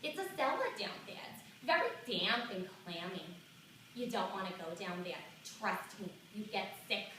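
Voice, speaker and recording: A woman performing a theatrical monologue as a reticent, exasperated seventy-two-year-old woman, halting and colloquial as she reminisces. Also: mid-range voice, pushed and bright. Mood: reluctant, disgusted. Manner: halting, dramatic, colloquial, self-interrupting. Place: small indoor theatre stage. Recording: echoey theatre, far from the mic, faint noise.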